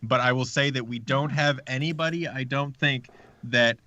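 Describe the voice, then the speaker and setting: A man's voice: medium pitch, normal speed, bright, forceful tone, no echo, muffled recording. A man in his thirties chatting casually as a guest in a live interview, often restarting his sentences as he explains. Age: 30s. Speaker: audio drama producer, interview guest.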